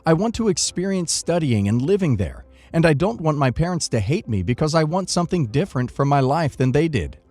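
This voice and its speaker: Expressive man narrating, lively and higher-pitched. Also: fairly quick, few pauses, soft background music. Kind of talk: scripted narration of online stories.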